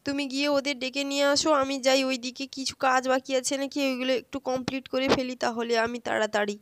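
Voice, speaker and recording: A woman's voice: sits high, level tone, quick pace, a bit bright, clear, resonant tone, no room sound, voice pushed up. A woman playing a busy, bossy mother in a children's story, chatty and scolding. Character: busy, bossy household mother organizing festival morning.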